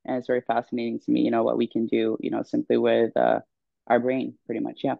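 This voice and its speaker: A woman's voice: rumbling low, monotone, fast, vocal fry, no echo, narrow, tinny audio. A woman in her twenties from Sweden, a coach speaking as a podcast guest in a candid, chatty way with many ums. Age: 20s. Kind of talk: casual interview chat.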